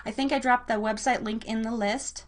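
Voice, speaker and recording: High-voiced, chatty woman radio host. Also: fairly even intonation, normal speed. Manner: chatty, rambling, self-deprecating; enthusiastic, effusive. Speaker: live internet radio show host. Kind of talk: casual live radio chat.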